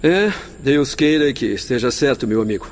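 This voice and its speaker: A man playing a modest, concerned doctor in a radio drama, with a husky voice and polite, formal delivery. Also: mid-range voice, expressive, moderate pace, close to an everyday voice. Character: modest, courteous male doctor, gently concerned.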